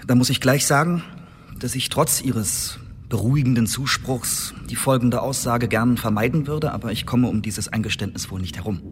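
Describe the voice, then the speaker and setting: A man plays an Elizabethan secret agent in a radio drama, anxious yet eager to prove himself. He speaks haltingly at a middle pitch, restarting and justifying himself. Clear noise sits behind the voice. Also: close to an everyday voice. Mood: uneasy, confessing. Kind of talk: German radio drama acting.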